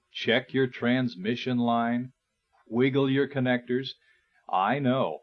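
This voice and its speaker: A man reading a scripted instructional narration in a measured, explanatory way. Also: mid-range voice. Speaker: narrator and presenter. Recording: dull, lo-fi 1980s recording.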